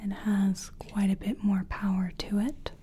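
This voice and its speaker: A woman whispering in a breathy, gentle and soothing way, as a practitioner in an ASMR personal attention roleplay. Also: medium pitch, flat delivery. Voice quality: breathy, mostly unvoiced whisper. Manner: gentle, soothing, deliberate, reassuring. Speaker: ASMR performer playing a soul-cleansing practitioner.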